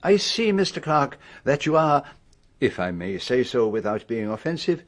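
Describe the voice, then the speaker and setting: An actor playing a shrewd, courteous older Belgian detective in a radio drama, with a French-speaking Belgian accent and formal, precise phrasing. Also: male voice, mid-range voice, sing-song, normal speed, natural voice. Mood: wry, amused.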